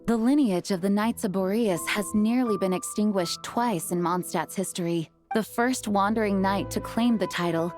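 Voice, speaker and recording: An actress playing a dutiful knight leader in a game, formal and composed, a little weary. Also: female voice, mid-range voice, normal speed, hardly any pauses, darkish tone, faint music behind, lightly acted. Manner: formal, careful, sighing. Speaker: acting grand master of the knights.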